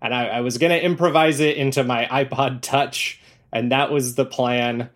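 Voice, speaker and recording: A man's voice: medium pitch, moderate pace. Casual male storyteller in an interview. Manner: animated anecdotes, acting out reported dialogue. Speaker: podcast guest, audio drama creator.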